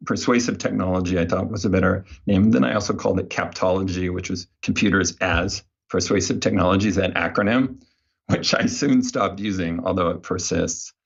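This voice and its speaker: A man's voice: sits low, fairly even intonation, brisk, gravelly, breathy edge, highs cut off. A man speaking as an interview guest, telling his story in a casual, anecdotal way. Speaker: guest, behavior design researcher.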